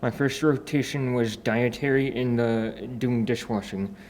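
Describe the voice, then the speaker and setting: An eighteen-year-old man introducing himself as an intern, carefully listing his tasks with uh pauses. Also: medium pitch, a little mellow, slight background noise, rough recording. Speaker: program intern. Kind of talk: prepared self-introduction.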